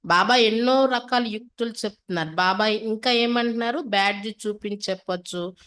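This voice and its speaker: Female spiritual teacher, mid-pitched voice. Reads scripture and explains it step by step, repeating and questioning. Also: a bit bright. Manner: instructive, repeats and paraphrases, with rhetorical questions. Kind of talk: religious discourse, reading aloud then translating and explaining.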